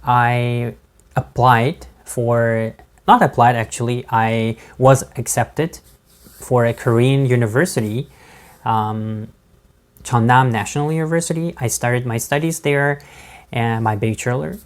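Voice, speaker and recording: Slow halting man, non-native English accent. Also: medium pitch, steady tone. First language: not English. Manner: halting, many fillers and restarts, laughs between words. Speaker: vlogger. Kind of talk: casual talk to camera.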